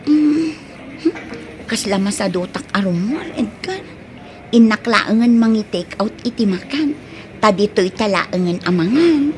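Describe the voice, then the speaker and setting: A woman's voice: mid-range voice, lively intonation, unhurried, a little mellow, close to an everyday voice. A middle-aged woman with an Ilocano accent, acting a plain-spoken, concerned provincial mother in a comedy drama. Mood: coy. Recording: noticeable background noise.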